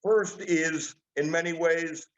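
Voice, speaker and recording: Measured male analyst on dull webinar audio. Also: a little high, steady tone, fairly bright tone. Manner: measured, formal, deliberate phrasing. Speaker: panel speaker, political analyst. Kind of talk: prepared analytical remarks. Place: online video webinar.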